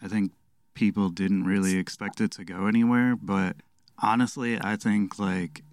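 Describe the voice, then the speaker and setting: A man in his thirties chats casually on a podcast. His voice is deep and clean, with a flat melody, recorded close and without room sound. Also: normal speed, no echo. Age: 30s. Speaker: band guitarist guest.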